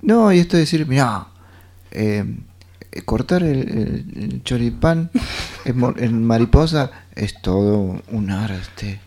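A man with a Rioplatense accent in a radio play, playing a proud, slightly absurd boaster who speaks haltingly and self-importantly. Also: mid-range voice, lively intonation, dark tone. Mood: boastful, halting. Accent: Rioplatense Argentine. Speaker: radio drama actor. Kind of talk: conversational radio theatre.

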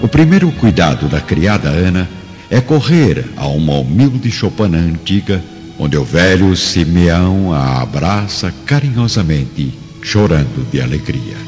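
A man's voice: low voice, sing-song, unhurried, darkish tone. A man with a Brazilian accent giving solemn, formal dramatic narration for a radio play. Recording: dull lo-fi, long reverb. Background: background music.

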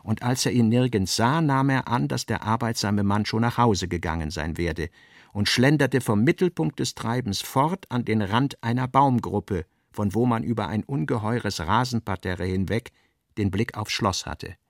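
A man's voice: lowish voice, normal speed, dry recording, studio quality. A man reading literary narration for a radio drama in a scripted style.

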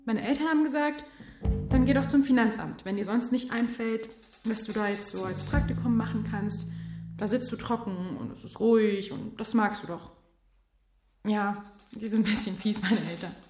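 Actress as a chatty, guileless young intern. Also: female voice, fairly high pitch, normal speed, a little mellow, reverberant, faint music behind, lightly acted. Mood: candid. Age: young adult. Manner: casual, digressive, candid. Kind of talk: naturalistic radio drama testimony.

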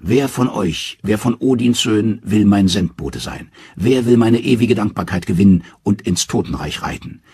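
A man's voice: fairly low pitch, big pitch swings, normal speed, gravelly, husky, no echo, voice pushed up, exaggerated voice. A man voicing a proud, defiant mother goddess.

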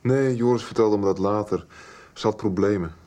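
A man's voice: on the low side, normal speed, mellow, lightly acted. A male actor playing a blunt, teasing young man in a radio soap, talking colloquially.

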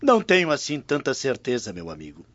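A man's voice: mid-range voice, wildly swooping pitch, fairly quick, a bit bright, no echo. A man playing a compassionate, critical Roman nobleman in a radio drama, speaking in a grave, declamatory manner. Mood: grave, indignant. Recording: muffled radio drama with background music.

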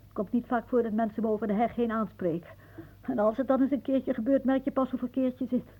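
A woman's voice: a little high, fairly even intonation, fast talker, lightly acted. An actress playing a wry, philosophising older woman in a radio play, musing drily and easily irritated. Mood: fussy, inquisitive. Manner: musing, dryly aphoristic. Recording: muffled, phone-like old radio recording.

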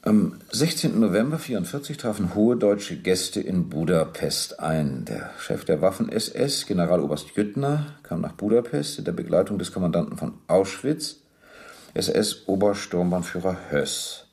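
An actor playing a sober, persistent police captain in a docudrama radio play, measured, precise and matter-of-fact as he questions and reads from documents. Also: low-pitched, animated, darkish tone. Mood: sober reading.

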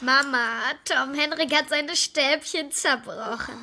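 A woman's voice: high-pitched, slow, pushed and bright, full character voice. A woman playing a pert, tattling little sister in a children's radio play, cheeky and eager.

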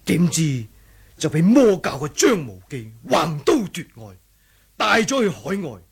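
Scheming sect elder, male actor, eloquent and deferential, slightly high husky voice, wuxia radio play. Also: very animated, unhurried, exaggerated voice. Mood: confiding, earnest. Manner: deferential, theatrical, persuasive. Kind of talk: Cantonese martial-arts radio drama acting.

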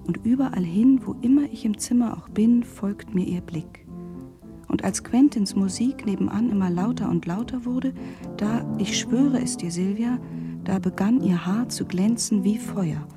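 A woman's voice: mid-range voice, moderate pace, natural voice. A woman playing an enraptured letter writer narrating in a German radio drama, intimate and literary. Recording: guitar music under the voice.

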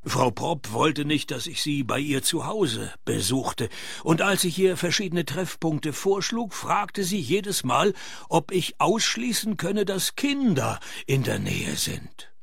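An actor playing a sardonic male private detective in a radio play, narrating and talking in a dry, deadpan manner. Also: fairly high pitch, slow, creaky, slightly rough, no echo, exaggerated voice. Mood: wry narration.

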